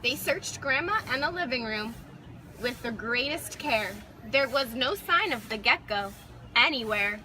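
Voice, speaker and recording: A woman's voice: a little high, animated, unhurried, sharp tone. A woman reading a children's picture book aloud expressively, recorded outdoors. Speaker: storybook reader. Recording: noisy outdoor recording with traffic.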